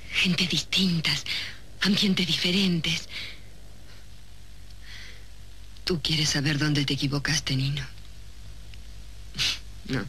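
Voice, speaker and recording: An actress playing an ambitious young woman in a 1970s soap opera, speaking in a deliberate, dramatic way. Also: low-pitched, fairly bright tone. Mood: regretful. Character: ambitious, cold young woman from a humble neighbourhood. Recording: noisy old 1970s TV soundtrack.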